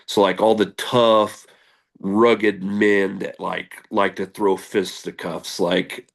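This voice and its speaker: A man in his thirties speaks in a very low, gravelly voice as a guest on a casual interview. He rambles earnestly and candidly, with plenty of fillers and restarts. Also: flat delivery, slow pace.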